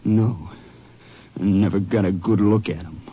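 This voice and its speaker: A man's voice: low voice, a little slow, loud music behind the voice. A man acting a grieving, bitter young wounded rancher in a 1950s radio drama, earnest and plain-spoken. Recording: muffled, noisy old radio recording.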